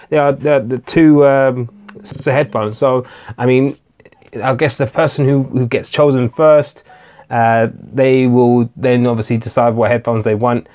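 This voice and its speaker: A man, speaking at an ordinary mid pitch, hosts a relaxed live-stream Q&A. He talks in a loose, hesitant way, full of fillers and repeated starts, as he answers viewers' comments one by one. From England. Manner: reads viewer comments aloud and answers them. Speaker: tech channel host.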